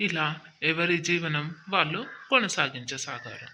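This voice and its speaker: A man's voice: on the high side, lively intonation, moderate pace, bright, forceful tone. A man giving scripted narration for a moral story. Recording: dull lo-fi audio with faint noise.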